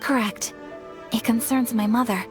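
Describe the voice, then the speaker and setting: Woman as polite young noblewoman of a game, high voice, formal, gentle, earnest, music underneath. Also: fairly even intonation, lightly acted. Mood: polite, composed. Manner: formal, courteous, measured. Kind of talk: animated video game voice acting.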